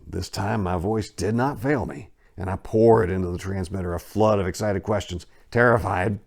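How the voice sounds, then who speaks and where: A man giving a theatrical, brooding dramatic reading of a first-person horror story, phrasing it deliberately. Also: sits low, vocal fry. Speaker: narrator.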